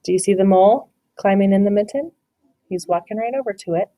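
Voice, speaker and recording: A woman's voice: medium pitch, a little fast, mellow. A woman teacher reading a picture book aloud to children, encouraging them and asking questions about the pictures.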